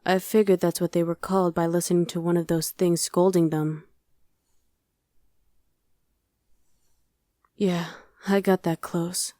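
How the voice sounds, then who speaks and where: Woman acting a mellow, low-voiced young female survivor. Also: level tone, moderate pace, no echo, close to an everyday voice. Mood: friendly, curious. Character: friendly, streetwise young woman surviving a demon invasion. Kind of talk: ASMR roleplay, conversational acting addressed to the listener.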